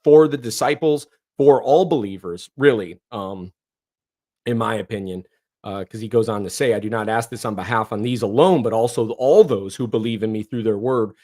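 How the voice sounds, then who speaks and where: A hoarse man from northeastern Ohio hosting a casual livestream, reading viewer comments in a rambling way with many fillers and restarts. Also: on the low side, moderate pace, mellow. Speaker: livestream host.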